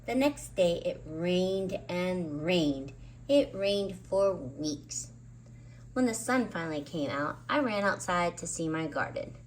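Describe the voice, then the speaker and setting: Lady reading a kids picture book, super expressive pitch, takes her time, faint noise behind her. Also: slow pace, low-quality recording. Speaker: children's story reader and host. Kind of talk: expressive storybook narration.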